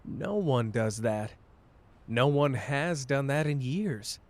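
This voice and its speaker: A man playing a cocky but wounded young sorcerer in an intimate roleplay audio drama, with halting, emotional delivery. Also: medium pitch, animated. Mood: tender, earnest.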